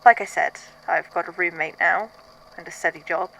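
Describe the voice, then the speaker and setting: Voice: mid-range voice, steady tone, moderate pace, gravelly, pushed and bright, low-quality recording. A performer playing a weary, haunted archivist, speaking in a hesitant, introspective, conversational way in an audio drama monologue. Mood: haunted, reflective. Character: weary, haunted archivist recording statements of uncanny events. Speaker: voice actor in a fan fiction audio drama.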